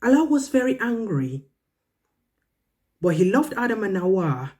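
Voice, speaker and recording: A woman's voice: mid-range voice, very animated, measured pace, mellow. A woman storyteller speaking to children, drawing moral and religious lessons in an earnest, emphatic, instructive manner.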